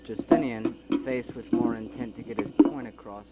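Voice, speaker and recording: A man narrating his own amateur audio drama in a soft, reflective storytelling voice. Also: mid-range voice, steady tone, moderate pace, heavy background noise. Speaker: narrator and creator.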